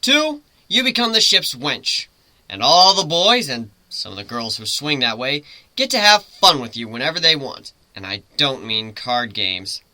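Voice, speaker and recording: A man voicing a brash, commanding griffin ship's captain in a story reading, loud, theatrical and taunting. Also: mid-range voice, very animated, a little slow, no echo, full character voice. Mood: teasing menace.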